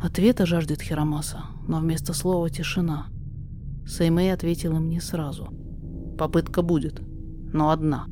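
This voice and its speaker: A woman narrating a fan audio story in rhymed verse, with a measured, theatrical recitation. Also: sits low, little pitch movement, noisy background. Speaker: narrator and reader of a verse podfic. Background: soft instrumental music.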